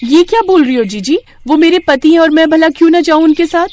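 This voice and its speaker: A woman's voice: very high-pitched, brisk, voice pushed up. A woman voicing a naive, loyal young village bride in melodramatic, emphatic story dialogue. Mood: indignant, hurt. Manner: emphatic, expressive.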